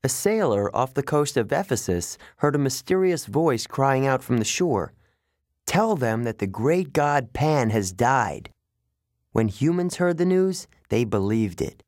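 A man voicing an earnest, idealistic young male satyr who sounds wistful and quick to take offense. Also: medium pitch, sing-song, a little mellow, no room sound, studio quality, voice pushed up. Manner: wistful, indignant about pollution.

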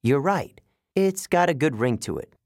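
A performer voicing a quick-witted adolescent boy hero who is wary and puts on casual friendliness, in an audiobook. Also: medium pitch, animated, a little mellow, no room sound, studio quality, pitched above the performer's own voice, close to an everyday voice. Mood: wary, flattering. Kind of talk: audiobook character voice.

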